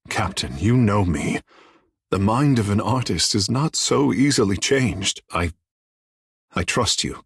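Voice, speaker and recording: A man playing a proud, vain young godlike islander sea mage in a fantasy video game, speaking in lofty, flowery, formal phrases with a husky, breathy voice. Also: lowish voice, no room sound, clean studio sound, full character voice. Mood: wounded, solemn. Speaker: companion character in a fantasy game. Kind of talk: theatrical video game dialogue.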